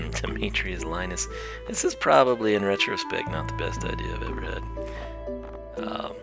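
A man hosting a casual let's play, his voice hoarse and scratchy as he loses it, chatty and joking with many fillers. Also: sits low. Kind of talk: casual gameplay commentary. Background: loud game music.

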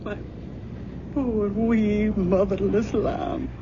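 Slow-talking, motherly woman. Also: medium pitch, fairly even intonation. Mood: mournful, resigned. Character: motherly, pious Scottish woman, grieving and tender. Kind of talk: 1970s radio drama acting. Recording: dull, noisy 1970s radio broadcast.

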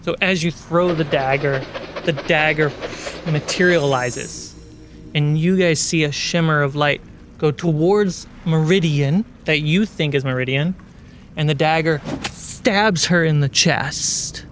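Male game master, fairly high voice, narrating fantasy scenes over faint ambient noise. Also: a little slow, a bit bright.

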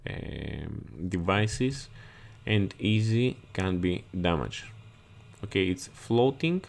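A man with a very low voice gives a slow tour of a ship's engine room. He speaks non-native English and often repeats words for emphasis. He is recorded on a body-worn camera as he moves between the machines. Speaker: marine chief engineer giving a ship tour. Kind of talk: explanatory walkthrough video. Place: ship engine room, indoors. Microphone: body-worn action camera.

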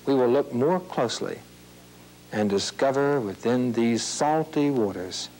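A man reading scripted nature narration for children in a measured, explanatory, plain-worded way. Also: mid-range voice, expressive, darkish tone, rough recording. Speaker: narrator of an educational film series. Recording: hissy, humming old videotape soundtrack.

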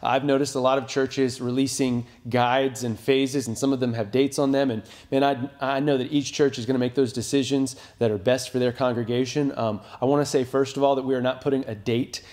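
Man, thirties, American accent, pastor, relaxed soft-edged tone, informal congregational update, earnest and reassuring. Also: mid-range voice, brisk, few pauses. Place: small indoor room with wood-plank walls.

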